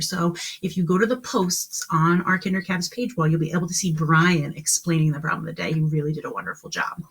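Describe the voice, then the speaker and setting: A woman's voice: on the low side, fairly bright tone, slightly rough. A woman teaching kindergarten on a live stream, speaking encouragingly to children in simple questions and instructions.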